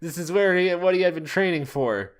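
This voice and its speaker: A man's voice: high-pitched, expressive, fairly quick. A man hosting a podcast, reading fan fiction aloud in a casual comedic way and stumbling over the odd word. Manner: often breaks into laughter, stumbles over words. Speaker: podcast host.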